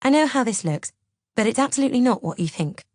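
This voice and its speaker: A woman voicing a frightened, exasperated woman who pleads urgently and strains to stay calm in an audiobook. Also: a little high, fast talker, no echo, studio quality, voice pushed up. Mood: frustrated. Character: frightened, exasperated woman, protesting her innocence.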